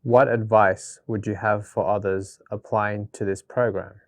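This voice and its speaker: A man announcing a title and reading scripted interview questions in a plain, even way. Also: on the low side, unhurried, no echo.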